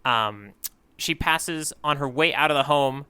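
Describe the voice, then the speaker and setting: A man's voice: on the high side, measured pace, pushed and bright, clean tone. A man co-hosting a podcast, recapping a manga chapter in casual chat, rambling with many fillers and easy laughs. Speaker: podcast co-host.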